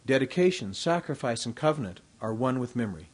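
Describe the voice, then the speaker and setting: A man delivering a formal, prepared devotional address at a university, reading from his text in a measured way. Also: medium pitch, expressive.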